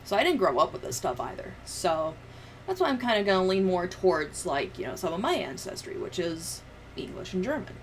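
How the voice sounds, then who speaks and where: A woman's voice: on the low side, very animated, fairly quick, a little hiss or hum. A woman livestreamer chatting and telling stories in a casual, sweary, giggly way. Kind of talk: casual livestream chat, storytelling.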